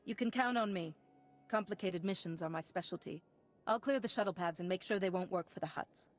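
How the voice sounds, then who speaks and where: An actress playing a steadfast, confident heroine in a video game, composed and assured. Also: female voice, fairly low pitch, brisk, natural voice. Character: steadfast, confident female Jedi hero. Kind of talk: video game character acting.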